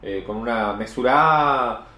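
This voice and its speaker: A man's voice: mid-range voice, measured pace. A man in his forties reviewing beer in casual chat, explaining at length with many fillers, recorded from a camera across a home room. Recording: echoey room with faint noise.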